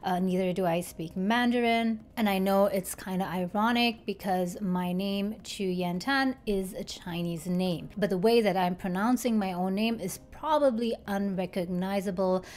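A woman in her thirties talks straight to camera at a desk mic in a bright living room. She is chatty and goes through her points step by step, with a middle-pitched voice. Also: normal speed, hardly any pauses. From Germany. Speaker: YouTuber. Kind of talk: casual talk to camera. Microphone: desk microphone in front.

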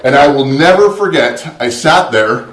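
A middle-aged pastor preaches in an earnest, conversational way, often doubling back on his words. His voice sits at an everyday male pitch. It is captured from across a small sanctuary, so it sounds distant and roomy. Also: expressive, normal speed, fairly bright tone. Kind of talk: sermon. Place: small church sanctuary, indoors. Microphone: far camera, no visible mic. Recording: roomy echo from far off.

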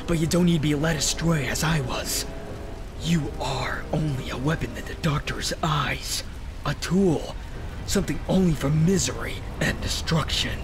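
A man's voice: fairly high pitch, lightly acted. Man voicing a brooding, solemn antihero hedgehog. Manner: serious, formal, restrained. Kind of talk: scripted comic dub acting.

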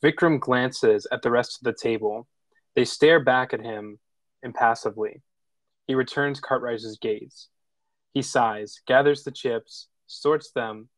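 A man narrates the action of a screenplay at a table read. His voice is medium in pitch, and the sound is very dry, with no room to it. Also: a bit bright, dry recording.

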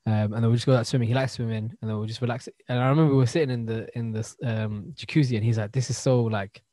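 A man's voice: medium pitch, fairly even intonation, very fast, warm tone, no echo, studio quality. A man in his twenties with a London accent, chatting and telling stories in slangy speech as a podcast co-host. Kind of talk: casual chat, storytelling. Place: indoors, remote video call.

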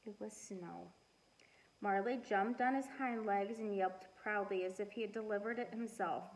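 A middle-aged woman reading a storybook aloud and chatting to children in an expressive, deliberate way. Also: mid-range voice, rough recording. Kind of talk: storybook read-aloud and casual chat to children. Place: living room at home.

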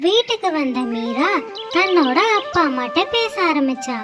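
A woman with an extremely high voice narrates a moral story for children as a storyteller. Music plays clearly behind her narration. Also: sing-song, measured pace. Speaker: storyteller and channel host. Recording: music under the voice.

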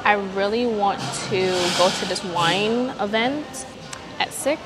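A young woman talks straight into a camera held at arm's length, chatty and frank with quick asides. Her pitch sits in the middle for a woman. Music plays clearly underneath. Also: rough recording. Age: young adult. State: congested. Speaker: vlogger. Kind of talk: casual vlog chat to camera. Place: home, car, cafe. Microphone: handheld camera at arm's length.

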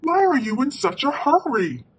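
A huffy female storybook waterfowl, put on by a woman, squawky and exasperated. Her voice is fairly high and swings widely in pitch, over faint background noise. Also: sing-song, normal speed. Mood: startled, indignant. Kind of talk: animated children's storybook read-aloud.

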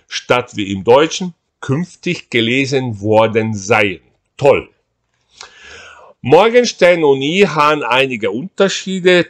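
A man reads his own writing in an unhurried, deliberate way. His delivery is drily humorous and measured, at a middling pitch. He is recorded on a webcam at a desk in a room at home. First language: Portuguese. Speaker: author reading his own text. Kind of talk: reading aloud, switching between languages.

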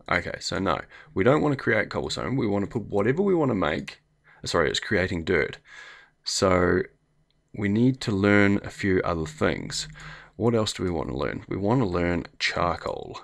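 A man with a New Zealand accent and a slightly croaky voice, chatting casually as he thinks aloud through a game. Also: deep voice, sing-song, normal speed, a bit bright. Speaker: gameplay video host. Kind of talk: casual let's play commentary.

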